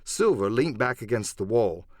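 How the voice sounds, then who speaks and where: A man's voice: lowish voice, animated, moderate pace, a little mellow, dry recording. Man narrating an adventure audiobook, dry sound. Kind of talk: dramatic scripted first-person narration.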